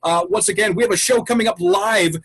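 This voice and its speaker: A man hosting an improv troupe's home episode, chatting over a video call and telling stories in a relaxed, talkative way. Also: high-pitched, a little fast, slightly rough. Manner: chatty storyteller, wraps up the show. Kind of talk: casual chat. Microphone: video call mic.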